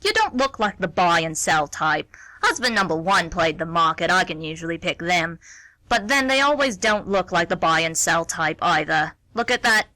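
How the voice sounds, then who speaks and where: A woman's voice: lowish voice, big pitch swings, normal speed, sharp tone, dry recording, poor audio. A woman acting a sardonic, meddling older woman in a radio play, with a dry, blunt, wry delivery. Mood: wry, teasing. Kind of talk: stage-play dialogue read as radio theatre.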